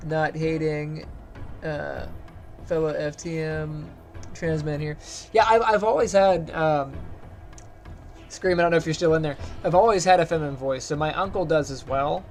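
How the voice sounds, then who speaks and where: Man, high-ish light voice, gravelly fry, rambling stream chatter, faint music behind. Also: steady tone, moderate pace, darkish tone. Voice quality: higher-pitched, light voice. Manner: rambling, chatty, talks to chat while playing. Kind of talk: casual gameplay commentary.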